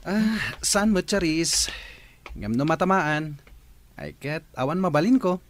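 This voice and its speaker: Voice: medium pitch, lively intonation, measured pace, lightly acted. A performer acting a cheeky, teasing schoolboy with an Ilocano accent in a radio drama. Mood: retorting. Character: cheeky, teasing schoolboy best friend, quick to retort.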